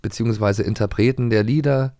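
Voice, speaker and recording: A man's voice: lowish voice, a little fast, mellow, no echo. A man, from the former East Germany, co-hosting a podcast in a playful, rambling, jokey way. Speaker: podcast co-host. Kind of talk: casual chat.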